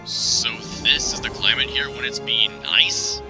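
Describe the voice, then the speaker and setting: An actor playing a cocky, glib billionaire armored hero in a comic dub, flippant and full of wisecracks. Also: male voice, medium pitch, fairly even intonation, unhurried, vocal fry. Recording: music behind the voice.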